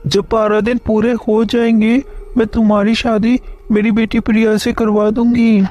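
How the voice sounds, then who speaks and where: A man's voice: high-pitched, level tone, normal speed, darkish tone, close to an everyday voice. A man voicing a stern, protective middle-aged mother with a firm, scolding manner in a horror story.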